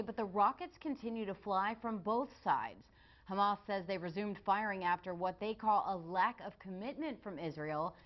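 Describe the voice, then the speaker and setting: American woman news anchor, slightly dull audio. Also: mid-range voice. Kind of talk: scripted television news reading.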